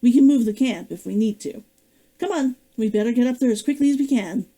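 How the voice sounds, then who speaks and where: A woman reading the lines of a practical young male adventurer in a calm, steady way. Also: fairly high pitch, brisk, lightly acted.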